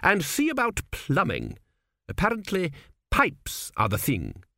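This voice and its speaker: Man voicing an imperious young queen, high and snappish. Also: wildly swooping pitch, unhurried, gravelly, pushed and bright, no room sound, studio quality, full character voice. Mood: commanding, sour. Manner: sour, snapping, commanding. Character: imperious young queen, blunt, snappish, newly self-assured.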